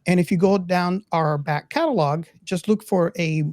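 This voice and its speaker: A man's voice: high voice, moderate pace, a little mellow, no echo. A man hosting a casual podcast discussion, speaking hesitantly and often repeating his words. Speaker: podcast host.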